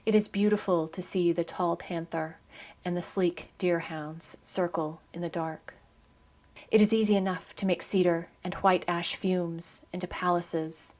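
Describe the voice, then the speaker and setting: Woman, lower-pitched poetry radio host. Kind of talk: scripted radio narration and poetry reading.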